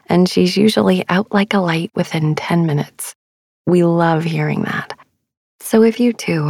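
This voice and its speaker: A woman hosting a sleep meditation podcast, speaking softly and gently, with some breathiness. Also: on the low side, vocal fry, breathy edge, no echo, clean studio sound. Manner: gentle, reassuring. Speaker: podcast host. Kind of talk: soothing sleep meditation narration.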